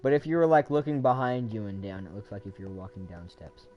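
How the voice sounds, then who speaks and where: A man chatting casually and profanely while playing a game, with sudden loud outbursts. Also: fairly low pitch, animated, fairly quick, highs cut off, soft background music. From United States. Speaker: gaming video creator. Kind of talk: casual gameplay chat.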